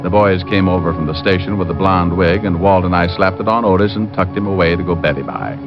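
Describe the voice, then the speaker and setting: Actor as a low-voiced wry private eye. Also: male voice, fairly even intonation, quick pace, close to an everyday voice. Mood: matter-of-fact. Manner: wisecracking. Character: wry, cool male private detective, narrating his case. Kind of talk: 1940s-50s radio detective drama acting. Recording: muffled old radio recording with music.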